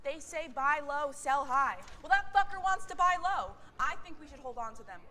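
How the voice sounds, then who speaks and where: A woman's voice: sits high, lively intonation, moderate pace, sharp tone. A woman in a staged reading playing a suspicious, defiant factory manager with a sardonic manner. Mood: scornful, suspicious. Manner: sardonic, profane.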